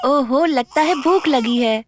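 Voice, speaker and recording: An actress playing a mistreated young girl who speaks softly and pleads. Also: sits high. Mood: soothing. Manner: soft, pleading, slow. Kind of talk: melodramatic web drama acting.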